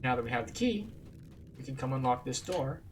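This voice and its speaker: A man's voice: mid-range voice, lively intonation, fairly quick, slight background noise. A man giving casual, explanatory walkthrough commentary as a video game guide. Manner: informal, explanatory. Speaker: gaming guide host.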